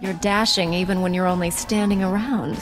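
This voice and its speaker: An actress playing a principled, composed female knight in a sci-fi video game, measured and earnest. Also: lowish voice, fairly even intonation, long reverb tail, music in the background, lightly acted. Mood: teasing. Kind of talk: video game dialogue acting.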